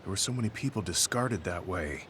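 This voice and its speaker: An actor playing a stoic, earnest veteran soldier in a video game, speaking in a serious, reserved, measured way. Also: male voice, low-pitched, lively intonation, a little hiss or hum. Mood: sorrowful, subdued. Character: stoic, earnest male veteran soldier, quietly grieving and resolute. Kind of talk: English video game voice acting. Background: soft background music.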